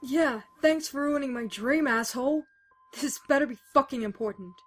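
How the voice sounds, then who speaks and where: A woman's voice: high-pitched, moderate pace, faint music behind, natural voice. A woman acting a foul-mouthed, grouchy survivor in an audio drama, crabby and groggy. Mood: groggy, annoyed. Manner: crabby, drawling complaints.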